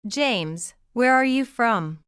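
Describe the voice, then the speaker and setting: Woman, lesson dialogue reader, crisp smooth voice, careful simple sentences, dry sound. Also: fairly high pitch, animated, slow pace, a bit bright, no echo. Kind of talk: slow scripted practice dialogue.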